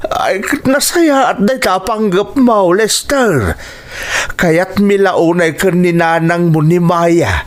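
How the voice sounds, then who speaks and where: An actor playing a caring older father who coaxes his grown son gently, with an Ilocano accent in radio drama style. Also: sits high, slow. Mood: encouraging.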